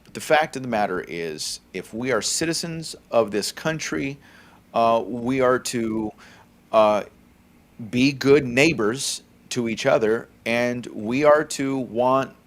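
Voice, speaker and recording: A man's voice: mid-range voice, slow pace. A man in his forties from California, co-hosting a faith podcast, talking conversationally with many fillers and a frequent "okay?". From California, USA. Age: 40s. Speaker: podcast co-host. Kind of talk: faith-centered podcast commentary.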